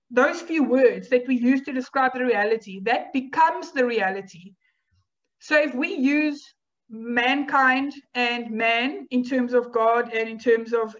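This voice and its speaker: A woman whose first language is Afrikaans, giving a university lecture in a measured, explanatory way with rhetorical questions. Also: sits high. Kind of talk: academic lecture over slides. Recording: dull lo-fi online lecture audio.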